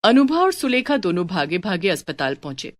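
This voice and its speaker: A woman's voice: mid-range voice, big pitch swings, fairly quick, no room sound. A woman storyteller reading a scripted story as narration.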